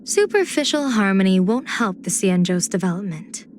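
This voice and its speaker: A woman's voice: mid-range voice, animated, normal speed, a little hiss or hum, natural voice. A woman voicing a playful, confident general and diviner in a video game, with poised, theatrical, teasing phrasing. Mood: sly, assured. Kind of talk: video game character voice lines.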